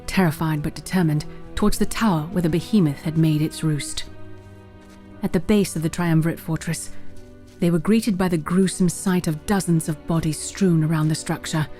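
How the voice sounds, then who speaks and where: A woman's voice: lowish voice, normal speed, mellow, soft background music, lightly acted. A woman narrating a scripted fantasy adventure as a storyteller.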